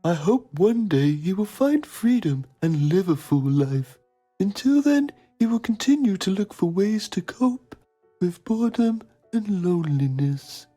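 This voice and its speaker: A man playing a self-important TV journalist, narrating a mock documentary in a solemn, pompous deadpan. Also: high voice, unhurried, soft background music, full character voice.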